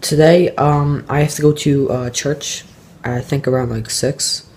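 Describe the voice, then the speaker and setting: A man's voice: on the high side, fairly even intonation, unhurried, darkish tone, breathy edge. A teenage boy chatting casually to his camera at home, rambling with lots of ums and uhs. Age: teens. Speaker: vlogger. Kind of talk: casual vlog talk to camera.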